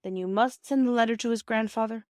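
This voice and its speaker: An earnest British schoolboy, played by a woman reading a storybook, pitched high and pushed a little louder. He talks in old-fashioned slang with a pleading, boyish eagerness, recorded dry and close. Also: fast, dry recording, lightly acted. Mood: eager, persuasive. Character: eager, earnest English schoolboy, her son.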